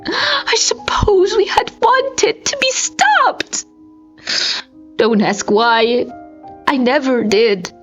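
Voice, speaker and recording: A woman's voice: high-pitched, animated, drawn-out words, pauses often, a bit bright, dull audio. A woman reading a horror story aloud in an intense, theatrical way, as a dramatic audiobook narrator. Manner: intense, theatrical, swinging into whispers and anguished cries. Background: music.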